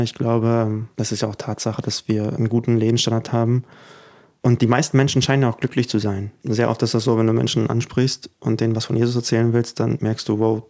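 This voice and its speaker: A man's voice: lowish voice, a little mellow. A young man in his twenties, from Ukraine, talking reflectively and earnestly as an interview guest, laughing easily between thoughts. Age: 20s. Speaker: guest musician. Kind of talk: casual interview talk.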